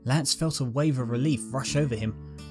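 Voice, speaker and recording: A man narrating a fan fiction story in a steady storytelling manner. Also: mid-range voice, moderate pace, over music.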